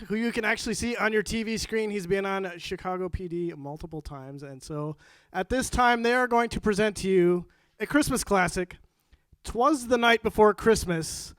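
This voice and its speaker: A man's voice: high-pitched, fairly bright tone, dry recording. A man acting as stage host, introducing performers in an announcer-like way.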